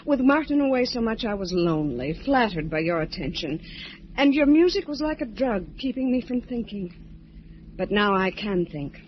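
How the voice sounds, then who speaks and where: A woman's voice: mid-range voice, sing-song, normal speed, natural voice. An actress playing a lonely, troubled married woman in old-time radio drama, speaking earnestly and emotionally. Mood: sad resolve. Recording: muffled, faintly noisy vintage radio recording.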